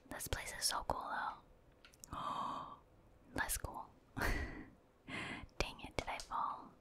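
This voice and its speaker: A woman whispering casual, gentle ASMR commentary as she thinks aloud while playing a video game. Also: extremely slow. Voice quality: breathy, voiceless whisper. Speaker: gaming ASMR streamer.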